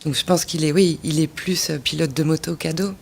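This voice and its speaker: A woman guest giving her view, pausing and repeating words. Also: low-pitched, steady tone, gravelly, slight background noise. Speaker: studio guest.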